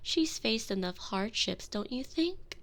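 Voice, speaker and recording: A woman voicing a seductive, commanding vampire mistress in an intimate audio roleplay, teasing and manipulative, often dropping to soft whispers. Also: on the high side, animated, unhurried. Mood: persuasive. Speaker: voice actress in a one-sided audio roleplay. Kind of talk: intimate binaural roleplay, addressing the listener.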